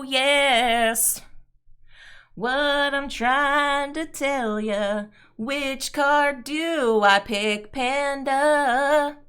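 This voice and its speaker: Woman streamer, chatty and unhurried, bright, smooth voice a touch raspy this morning. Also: sits high. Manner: chatty, talks to chat viewers, sings playfully. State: slightly raspy before morning tea. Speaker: streamer playing a solo card game. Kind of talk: casual live-stream commentary.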